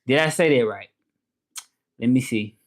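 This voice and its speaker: A man's voice: medium pitch, unhurried. A man from Memphis with a Southern American accent, chatting casually on a live stream, repeating himself and switching between English and French as he talks to viewers. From Memphis, Tennessee, USA. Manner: repeats phrases, talks straight to viewers, switches languages mid-thought. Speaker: live streamer and language teacher.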